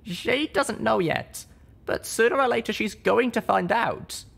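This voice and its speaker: A man's voice: sits high, lively intonation, creaky, a bit bright, slightly rough, pitched above the performer's own voice, exaggerated voice. A man doing an anxious young unicorn mare. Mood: worried. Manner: hesitant, halting speech. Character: young female unicorn pony, anxious and earnest.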